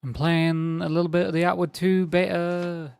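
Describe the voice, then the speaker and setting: A man's voice: high-pitched, flat delivery, normal speed, vocal fry, a little mellow, smooth, no room sound. A man streaming a game, chatting casually and rambling to his viewers, his voice a little strained as if he is losing it. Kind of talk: casual live-stream chat while gaming.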